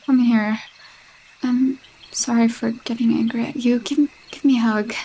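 High slow-talking jealous girlfriend, woman acting it out, coaxing and sugary with a creepy edge, roleplay audio. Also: flat delivery, a little hiss or hum. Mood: obsessively worried. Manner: sweet-talking, coaxing, with unsettling laughs and hushed asides. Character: possessive, jealous young girlfriend with a sinister streak.

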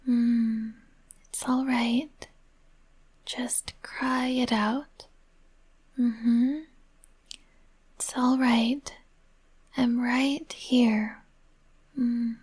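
A woman whispering softly and soothingly, speaking as a comforting partner in a roleplay. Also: a little high. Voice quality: breathy.

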